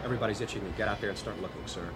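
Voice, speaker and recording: An actor in a radio drama playing an eager young male lieutenant, a brisk military deck officer. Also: lowish voice, noisy background, lightly acted. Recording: echoey hangar with loud music.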